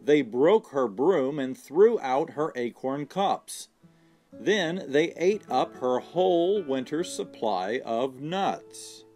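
A man reads a children's picture book aloud at a slow pace. His pitch rises and falls expressively, and soft guitar music plays behind him. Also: animated. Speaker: storyteller. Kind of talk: children's storybook read-aloud.

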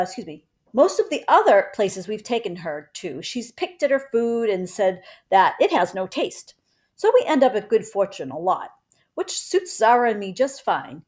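A woman in her forties reads a children's story aloud like a teacher. Her pitch moves in a lively way, and her delivery stays conversational, recorded on a webcam in a small room at home. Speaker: teacher reading a book aloud. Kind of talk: children's book read-aloud.

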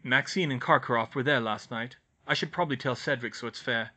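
A man's voice: medium pitch, fairly quick, pushed and bright. A man voicing a guarded, worried teenage schoolboy wizard in a plain-spoken way for a storybook. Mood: matter-of-fact.

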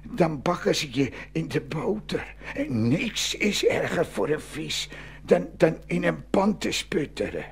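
Whiny sly old cormorant bird, super husky and creaky, slow stammery storybook voice. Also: male voice, a little high, sing-song, heavily acted. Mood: scheming, agitated. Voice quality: raspy, creaky. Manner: halting, stammering. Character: sly, whining old hungry male cormorant. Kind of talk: theatrical fairy-tale radio play acting.

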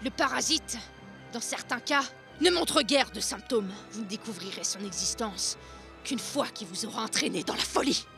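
A woman's voice: a little high, lively intonation, moderate pace, gravelly, bright, forceful tone, heavily acted. Sinister messenger priest for a satirical fable played by a woman: grave preacher, ominous, persuasive, theatrical, music underneath. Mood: dramatic confession.

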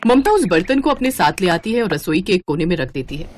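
A woman's voice: fairly low pitch, big pitch swings, fast talker. A woman narrating a moral story in a scripted storybook style.

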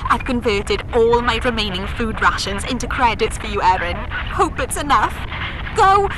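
A woman acts out a devoted livestream fan in an audio drama. Her voice is fairly high, anxious and eager to help, with clear noise around it. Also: lively intonation, normal speed, lightly acted.

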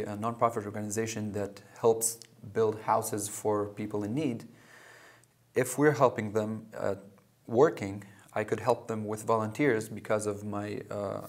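A man in his thirties, from Russia and Israel, answering questions as a guest in a TV studio interview, halting with many fillers and restarts. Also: fairly low pitch, vocal fry. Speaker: club president and guest. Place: small TV studio.

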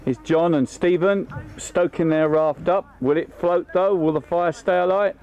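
A man's voice: on the high side, steady tone, moderate pace, darkish tone. A man giving live home-video commentary and calling out instructions as a leader running games, recorded outdoors on a camcorder. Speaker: scout leader filming and running camp games. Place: outdoors at a riverbank and campsite. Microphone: behind a handheld camcorder. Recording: amateur camcorder audio outdoors.

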